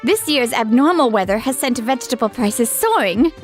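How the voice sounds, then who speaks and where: A woman's voice: a little high, lively intonation, normal speed, soft background music, natural voice. A woman playing a worried, businesslike restaurant employee in a comic dub, serious and anxious. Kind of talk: anime-style comic dub acting.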